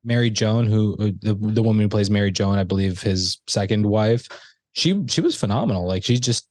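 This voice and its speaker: A man's voice: lowish voice, brisk, a little mellow, no room sound. A man co-hosting a casual movie review podcast, talking in a rambling, chatty way with lots of restarts. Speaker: podcast co-host.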